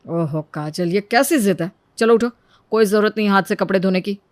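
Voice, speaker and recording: A woman's voice: medium pitch, brisk, dry recording, clean studio sound, close to an everyday voice. A woman voicing a caring, puzzled young husband in a storybook tale, mildly remonstrating. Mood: concerned. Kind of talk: Hindi moral-story voice acting.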